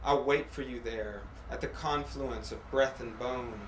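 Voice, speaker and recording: A man reading poetry aloud in a measured, deliberate way, with pauses between short phrases. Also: mid-range voice. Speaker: poet reading own work. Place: apartment room at a live reading. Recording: echoey room, faint background noise.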